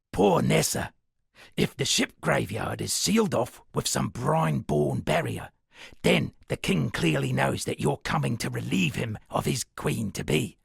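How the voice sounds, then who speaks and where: An actor playing a self-satisfied town poet and storyteller in a video game, speaking in a florid, dramatic manner with a gravelly, husky voice. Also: male voice, mid-range voice, animated, slow pace, dry recording, studio quality, heavily acted. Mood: wry concern. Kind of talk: theatrical game dialogue with declaimed verse.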